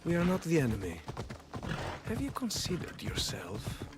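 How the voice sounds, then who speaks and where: An actor playing a philosophical, quietly menacing cult storyteller in a video game, speaking in a measured, eloquent, theatrical way. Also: male voice, on the high side, huge pitch swings, very noisy. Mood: smug, persuasive. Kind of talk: video game dramatic acting. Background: music.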